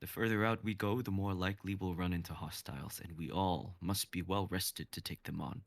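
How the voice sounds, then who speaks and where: A man voicing Guang, a calm, knowing guide who gives measured advice. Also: deep voice, vocal fry, dry recording. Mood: steady.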